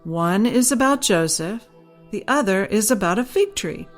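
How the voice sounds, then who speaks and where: A woman's voice: medium pitch, animated, normal speed, soft background music, natural voice. A woman voicing a patient, devout mother who explains things instructively in a children's bedtime storybook. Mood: patient explaining.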